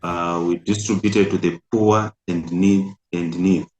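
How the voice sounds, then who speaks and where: Middle-aged imam from Zimbabwe, low and slow, pausing often, recorded in an echoey room. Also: male voice, fairly even intonation, darkish tone, a little hiss or hum. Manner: hesitant, many filler pauses. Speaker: imam and national community president. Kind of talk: interview answers. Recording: roomy echo.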